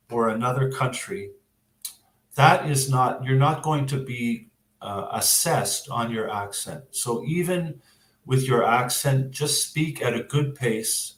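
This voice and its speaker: A man with a Canadian accent explaining exam advice as an English teacher, in a measured way with frequent hesitant pauses. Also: mid-range voice. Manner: measured, hesitant, pausing often. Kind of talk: explanatory interview answers. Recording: roomy, echoing sound.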